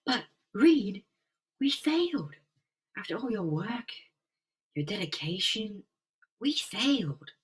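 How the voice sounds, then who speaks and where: A man's voice: high voice, big pitch swings, takes it slowly, pauses often, voice pushed up, exaggerated voice. A man voicing an anxious, devoted young heroine with pleading, melodramatic delivery in a comic-book reading. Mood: distressed.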